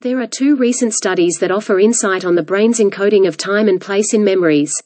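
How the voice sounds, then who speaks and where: A woman reads a prepared study passage aloud. Her voice is mid-pitched and mellow, with a soft, dark tone. The delivery is neutral and measured, and the sound is dry, with no room around it. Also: dry recording. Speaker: read-aloud exam practice reader. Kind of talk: scripted read-aloud of exam passages.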